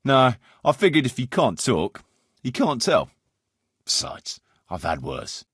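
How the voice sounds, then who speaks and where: A man's voice: medium pitch, no echo, studio quality. A man playing a roguish, world-weary medieval mercenary captain in a video game, firm but fond, speaking bluntly and colloquially. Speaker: game character, band leader. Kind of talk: video game dialogue acting.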